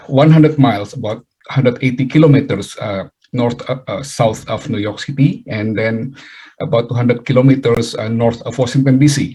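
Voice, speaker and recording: A middle-aged man with an Indonesian accent giving a webinar lecture over a video call, halting, with many fillers and restarts. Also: medium pitch, darkish tone, husky, low-quality recording. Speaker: guest speaker, chemical engineering professor.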